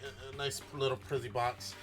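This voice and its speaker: A man's voice: mid-range voice, level tone, moderate pace, over music. A man chatting casually as a game streamer, joking and loose.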